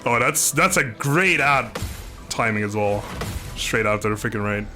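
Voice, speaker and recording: A chatty male streamer talking over music in a casual live gaming session. His pitch is mid-range and swings in a lively way. He jokes easily as he comments and chats. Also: normal speed. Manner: chatty, joking, laughs often.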